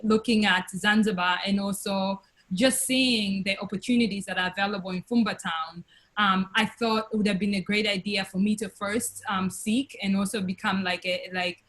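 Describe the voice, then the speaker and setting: A young woman from Tanzania, speaking on a video call webinar, with many pauses, fillers and restarts. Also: medium pitch, a bit bright. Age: young adult. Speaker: webinar guest, investor. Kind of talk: casual testimonial on a webinar.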